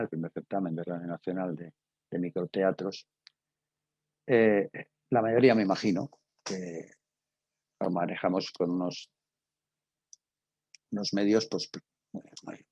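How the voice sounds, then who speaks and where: Male teacher, Castilian Spanish accent, dark and husky tone, very dry close sound, halting explanatory delivery that checks in with listeners. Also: low-pitched, no room sound. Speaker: teacher of radio drama. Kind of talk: informal spoken lecture.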